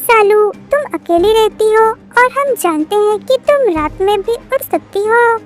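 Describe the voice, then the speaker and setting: A woman's voice: very high-pitched, lively intonation, a little slow. A woman voicing an earnest, determined young bird detective in a cartoon story. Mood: earnest, curious. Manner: earnest, questioning. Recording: music behind the voice.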